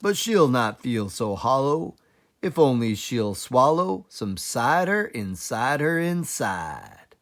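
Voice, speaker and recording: Man as slow, emphatic storybook badger. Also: medium pitch, big pitch swings, fairly bright tone, full character voice. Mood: mock-mournful singing. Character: male badger, plain-spoken storybook sidekick.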